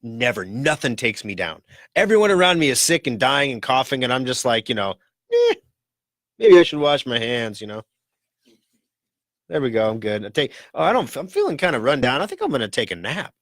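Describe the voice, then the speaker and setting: A man hosting a casual talk radio show in a rambling, joking way, his voice rough and scratchy from a cold. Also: medium pitch, very animated, fairly quick, a bit bright, no room sound, studio quality.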